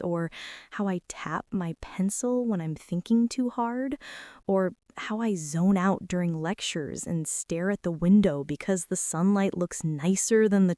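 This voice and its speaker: A woman playing a shy, quiet, self-doubting high school girl in an ASMR roleplay, speaking softly and hesitantly. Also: no echo, studio quality, lightly acted. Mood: hushed, overwhelmed. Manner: soft, hesitant, flustered, often breaking into breathy whispers.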